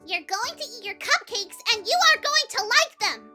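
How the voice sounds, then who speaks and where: Extremely high, hyperactive cartoon pony girl. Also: moderate pace, soft background music, exaggerated voice. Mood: bubbly, insistent. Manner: gushing, excitable, exclaiming. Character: hyperactive bubbly young female cartoon pony, easily alarmed.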